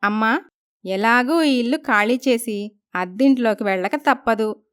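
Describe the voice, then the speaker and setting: A woman's voice: fairly high pitch, measured pace, fairly bright tone, smooth. A woman voicing a dutiful, polite young daughter, speaking earnestly in a moral story. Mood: reassuring. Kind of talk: dramatised moral story voice acting.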